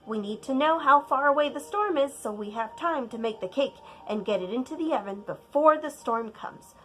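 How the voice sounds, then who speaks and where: A woman's voice: high voice, animated, moderate pace, a bit bright, soft background music, rough recording. A woman voicing a folksy, reassuring old grandmother in a storybook, coaxing and giving firm instructions. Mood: instructive. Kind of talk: storybook character voice.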